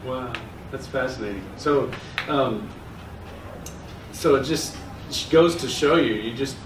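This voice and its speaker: A man interviews a student in a friendly, easygoing way, laughing along and pausing on fillers. His voice sits back from the mic in a roomy, echoing space with clear background noise. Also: mid-range voice. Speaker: interviewer, club member. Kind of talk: casual interview. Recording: echoey room, distant mic, noisy.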